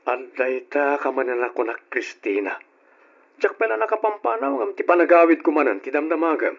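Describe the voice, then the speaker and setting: A bossy, easily irritated husband speaks in a fairly high voice with an Ilocano Filipino accent. He is insistent and scolding, in vintage radio drama style, on a slightly muffled lo-fi recording. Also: sing-song, brisk, creaky, heavily acted. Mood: firm, explaining. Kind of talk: old-fashioned radio drama acting.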